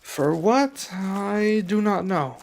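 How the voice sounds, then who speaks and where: A young man playing a serious, guarded dragon adventurer in an ASMR roleplay, speaking softly and slowly with pauses. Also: high voice, lively intonation, creaky, slight background noise, full character voice. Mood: earnest. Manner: soft-spoken, measured, with pauses.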